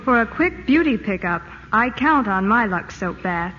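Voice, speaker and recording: A woman's voice: fairly high pitch, lively intonation, moderate pace. Sweet gushing woman in an old radio ad, muffled, little hiss. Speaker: actress in radio ad. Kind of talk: scripted 1940s radio commercial.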